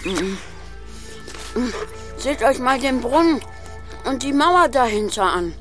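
A woman's voice: fairly high pitch, animated, takes it slowly, music in the background. A woman playing a sensible child, one of four adventuring siblings, in a children's audio drama. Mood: curious, weary.

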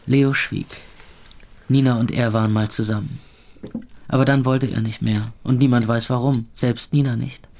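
A man's voice: mid-range voice, steady tone, moderate pace, creaky, a little mellow, close to an everyday voice. A man playing a wry, gloomy young club owner who narrates a radio drama in the first person, dry and laconic. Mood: wry, uneasy.

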